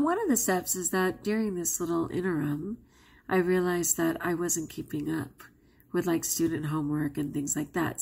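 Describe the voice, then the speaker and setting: Husky low-voiced woman vlogger. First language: not English. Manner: candid and chatty, with frequent laughs. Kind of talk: casual vlog talk to camera.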